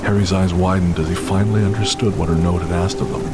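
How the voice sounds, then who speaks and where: A man narrating an audio drama in a grave, measured way. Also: deep voice, fairly even intonation, very noisy. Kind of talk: scripted narration. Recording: loud music bed under voice.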